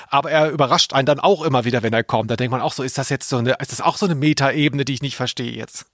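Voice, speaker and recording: A man's voice: mid-range voice, fast talker, a bit bright, no room sound, studio quality. A man co-hosting a podcast with casual, joking commentary on audio plays. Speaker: podcast co-host.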